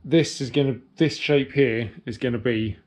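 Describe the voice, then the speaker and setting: A man's voice: mid-range voice, normal speed, a little mellow. A man in his forties casually narrating his boat work to camera, thinking aloud with fillers, recorded on an action camera. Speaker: sailing vlogger. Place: on the water by a boat hull, then in a workshop. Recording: faint outdoor background noise.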